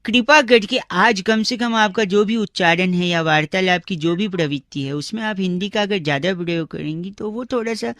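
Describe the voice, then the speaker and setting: A cheeky young male prank caller with a high, bright voice. He is theatrical and mock-polite, teasing with drawn-out words in a close, dry radio comedy sound. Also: no room sound. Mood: mock-polite, pedantic. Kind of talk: comic radio prank call.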